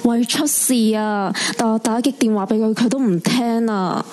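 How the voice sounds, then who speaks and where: A woman's voice: on the high side, moderate pace, dark tone, slight background noise, natural voice. A woman playing an anxious, loyal young female friend in a horror radio drama, worried and urgent, with music behind her.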